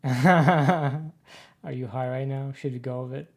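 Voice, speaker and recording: A man in relaxed playthrough conversation with a co-player. He speaks slowly at a middling pitch, in short informal reactions. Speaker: game player commenting with a co-player. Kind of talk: casual playthrough chat.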